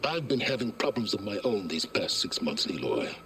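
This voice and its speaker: An actor playing an arrogant, scheming older male scholar in a video game, pompous and theatrical with deliberate emphasis. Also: on the low side, very animated, a crowd in the background. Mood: smug. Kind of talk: video game cutscene acting.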